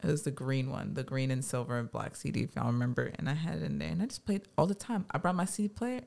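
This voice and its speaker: Chatty, animated woman podcast co-host. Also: deep voice, quick pace, creaky. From United States. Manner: animated, chatty storyteller with casual slang. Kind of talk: casual podcast chat.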